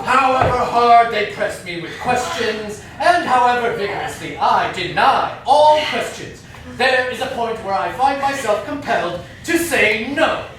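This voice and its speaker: A woman's voice: on the high side, animated, a bit bright. A woman playing an insistent, tormented figure, declaiming emphatically in an avant-garde theatrical style. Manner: measured, emphatic, theatrical delivery. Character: insistent, tormented declaimer railing against suffocation. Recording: very echoey stage, far off, noisy.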